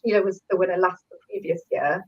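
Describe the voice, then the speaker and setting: A woman with a cold and a croaky voice, chatting as a guest author and then reading her own short story aloud. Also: on the low side, fairly even intonation, fast talker. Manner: warm, chatty, with laughs and restarts. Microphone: video call. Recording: dull lo-fi video call audio.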